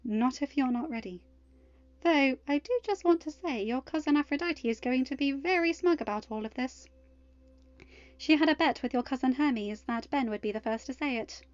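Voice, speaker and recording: A woman's voice: high-pitched, lively intonation, moderate pace, dry recording, highs cut off, voice pushed up. Woman voicing a firm, regal goddess mother, close-up. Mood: gently amused.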